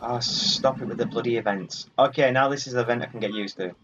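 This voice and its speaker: A man's voice: mid-range voice, quick pace, fairly bright tone. Muffled man doing casual gaming commentary. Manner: jokey, mildly sweary banter, talking to the game's characters. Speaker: gaming video host. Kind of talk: casual let's play commentary. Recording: muffled lo-fi audio over game music. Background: video game music.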